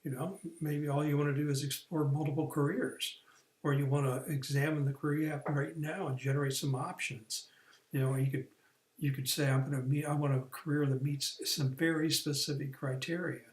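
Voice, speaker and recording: A man's voice: a little high, little pitch movement, brisk, roomy sound. A man explaining a career course in a plain, step-by-step way, with some restarts and fillers. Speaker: career coach. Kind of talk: recorded online training lesson.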